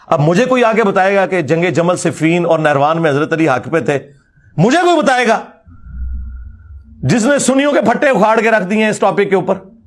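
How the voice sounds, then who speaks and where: Pakistani punjabi guy doing a fiery religious talk, pretty high voice, mocking and emphatic. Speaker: religious lecturer. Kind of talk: informal polemical religious lecture.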